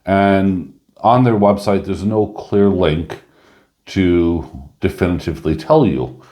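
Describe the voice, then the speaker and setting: A man chatting casually through a coin review, rambling with lots of fillers and a slightly hoarse voice. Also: sits low, takes it slowly, warm tone.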